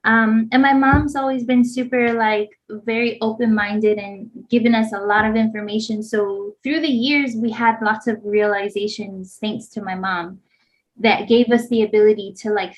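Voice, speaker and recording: A woman's voice: mid-range voice, fairly even intonation, moderate pace. A woman in her thirties who grew up in Hawaii, co-hosting a podcast and telling her story in a casual, rambling way with lots of "like". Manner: rambling, heavy use of "like". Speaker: podcast co-host. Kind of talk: casual chat, personal story. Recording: slightly dull lo-fi sound.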